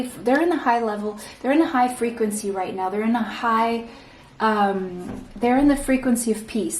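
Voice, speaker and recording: A woman from Portugal leads a tarot reading in a soft, reassuring manner, checking in with listeners and clearing an irritated throat now and then. Her voice sits a little off the microphone in an echoey room, with a faint hum underneath. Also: fairly high pitch, far from the mic. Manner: soft, reassuring, often ends points with "okay?". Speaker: tarot reader. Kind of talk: pick-a-card tarot reading.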